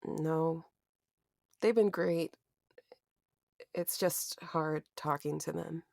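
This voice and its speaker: Low-voiced, slow, grieving survivor. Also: female voice, creaky, darkish tone, no room sound, studio quality, full character voice. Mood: weary, subdued. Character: grieving, traumatized young woman, weary but wry. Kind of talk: naturalistic horror audio drama acting.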